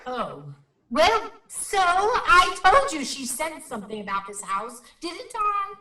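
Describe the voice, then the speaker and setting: A woman's voice: high-pitched, huge pitch swings, slow pace, bright, forceful tone, slight background noise, exaggerated voice. A woman playing a solemn, self-important paranormal investigator, reporting in formal, precise phrases in a radio play. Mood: brisk, composed. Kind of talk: radio drama acting. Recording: roomy, slightly distant microphone.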